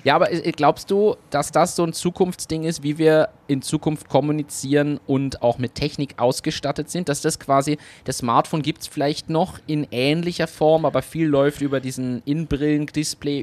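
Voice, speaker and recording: A man's voice: a little high, steady tone, moderate pace, a little mellow. A man co-hosting a casual podcast chat, brainstorming ideas with enthusiasm. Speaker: podcast co-host.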